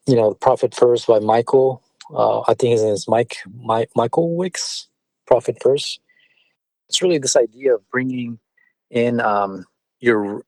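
A man whose first language is Spanish, a business owner answering interview questions in a measured way with frequent fillers and restarts. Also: fairly low pitch, dry recording, low-quality recording. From born in California, partly raised in Mexico City. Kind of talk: conversational interview.

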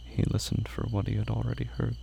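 A narrator reading scripted horror fiction in short, deliberate phrases. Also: mid-range voice, completely flat delivery, gravelly, warm tone. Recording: faint background noise.

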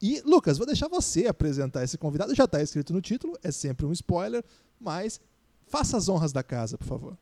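A Brazilian man hosts a casual sports podcast. His voice sits high for a man and swings widely in pitch, giving the talk a very animated feel. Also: brisk, vocal fry. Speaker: podcast host.

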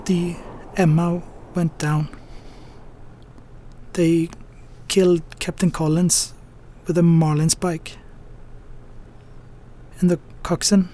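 An actor playing an earnest, haunted first mate in a radio drama. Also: male voice, a little high, level tone, mellow. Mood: shaken, grieving. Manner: halting, broken phrases when recounting.

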